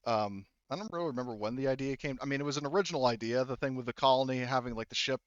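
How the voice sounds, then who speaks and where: A man's voice: medium pitch, very fast, no room sound. A man acting as game master, chatting casually about the game in a dull, lo-fi recording. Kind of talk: casual post-game discussion.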